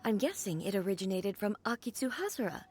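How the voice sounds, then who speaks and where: A woman playing a dignified, composed female ruler in a video game, speaking formally and politely with measured phrasing. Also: medium pitch, big pitch swings, darkish tone, natural voice. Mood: thoughtful. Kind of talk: video game cutscene acting. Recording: music behind the voice.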